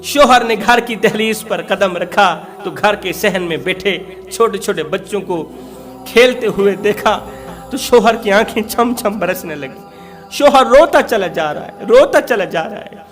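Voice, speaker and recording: A man's voice: sits high, a little slow, a bit bright, soft background music. A man preaching through emotional storytelling, dramatic, repeating phrases for emphasis.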